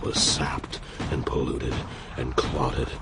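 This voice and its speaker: An actor playing a paranoid, ranting American military commander with a gravelly voice in a satirical radio play. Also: male voice, level tone, takes it slowly. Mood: brooding. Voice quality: gravelly, creaky. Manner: swings from hushed menace to shrill ranting. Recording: noisy radio drama recording.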